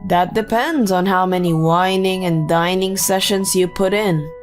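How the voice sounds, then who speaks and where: A woman's voice: on the low side, a little slow, soft background music, natural voice. A woman voicing a blunt, businesslike office employee in a scripted manga dub. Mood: urgent, blunt. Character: blunt female office employee reporting to her boss.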